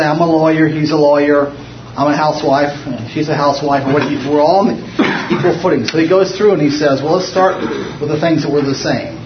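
A man's voice: fairly high pitch, background noise. Man, from the United States, Bible study leader, rambling with restarts, echoey room, distant muffled mic. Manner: rambling, with frequent restarts and fillers. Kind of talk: informal Bible study teaching.

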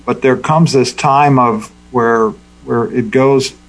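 A man leading a group Bible discussion, teaching in a thoughtful, unhurried way. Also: mid-range voice, steady tone, poor audio. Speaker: Bible study leader.

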